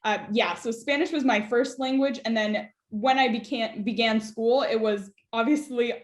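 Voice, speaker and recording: A woman's voice: fairly high pitch, moderate pace, roomy sound. A young woman, a student guest in an interview, talks in a thoughtful way with many "uh" and "um" fillers. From United States. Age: young adult. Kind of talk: conversational interview.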